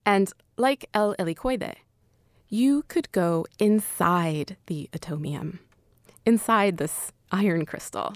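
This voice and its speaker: A woman's voice: medium pitch, slow, no room sound, clean studio sound. A woman hosting and narrating a scripted audio documentary. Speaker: podcast host and narrator.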